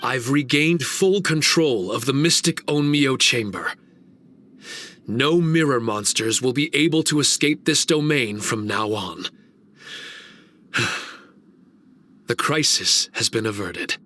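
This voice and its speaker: A man's voice: mid-range voice, slow pace, long pauses, bright, forceful tone. A man playing an earnest, dutiful guardian spirit in a video game, speaking formally and courteously. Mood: resolute. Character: earnest, dutiful male guardian spirit of a mystic training domain. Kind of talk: video game cutscene voice acting.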